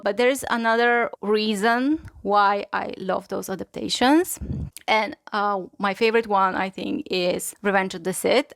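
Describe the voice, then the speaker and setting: A woman's voice: mid-range voice, gravelly, no room sound, clean studio sound. A woman whose first language is Bulgarian, speaking English with a Bulgarian accent as she hosts a casual, enthusiastic book review, chatty and full of "like"s. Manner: enthusiastic, gushing, chatty with many "like"s. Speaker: book channel host.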